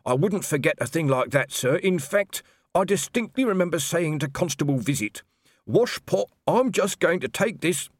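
A man's voice: on the high side, animated, moderate pace, no echo, clean studio sound, voice pushed up. A man doing a prim, dogged young constable. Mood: insistent. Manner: dogged, formally polite, ending lines with "sir". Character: polite, persistent young male constable. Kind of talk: audiobook character voice.

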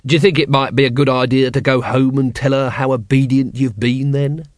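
A weary city watchman, played by a male narrator in a storybook reading. He sounds wry and exasperated at a middling pitch, in a very dry recording. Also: fairly even intonation, fairly quick, no echo, close to an everyday voice. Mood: awkward, coaxing.

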